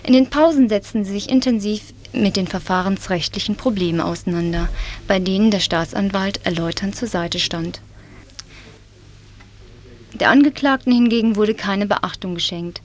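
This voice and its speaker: A woman reading a critical report aloud in a measured way on a 1980s radio broadcast. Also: mid-range voice. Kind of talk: read commentary report.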